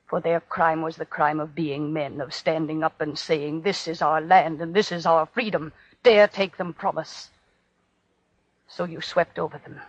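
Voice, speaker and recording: A woman's voice: sits low, husky, no room sound, full character voice. A woman playing a defiant condemned prisoner, solemnly accusing her captor in incantatory, repetitive 1940s radio drama oratory. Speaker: actor. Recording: dull, noisy old radio recording.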